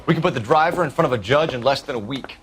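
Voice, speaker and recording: A man's voice: mid-range voice, lively intonation, fast talker, fairly bright tone, natural voice. A man playing a stern, accusatory 1940s police detective in a noir game, clipped, formal and pressing. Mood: businesslike.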